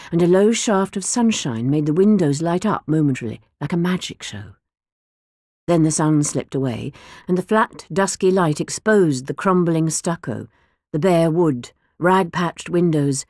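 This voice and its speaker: A woman narrating an audiobook in the first person with measured, expressive storytelling. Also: lowish voice, dry recording, studio quality. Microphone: close studio mic.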